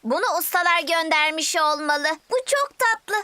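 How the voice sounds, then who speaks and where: A very high bubbly cartoon heroine. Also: female voice, animated, moderate pace. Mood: cheery. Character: cheerful young female cartoon hero. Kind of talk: Turkish cartoon dub. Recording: music behind.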